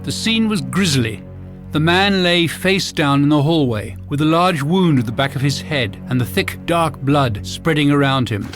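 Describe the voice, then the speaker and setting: A man's voice: fairly high pitch, lively intonation, moderate pace. A man narrating a mystery audiobook in a dramatic, suspenseful first-person style. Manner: suspenseful, theatrical. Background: music score.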